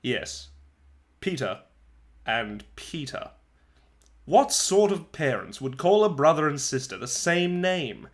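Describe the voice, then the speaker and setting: A man's voice: on the high side, sing-song, slow, long pauses. Man reading as chatty soccer-mad schoolboy narrator. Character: chatty eleven-year-old soccer-mad schoolboy, wry and eager. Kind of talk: dramatic first-person storybook reading.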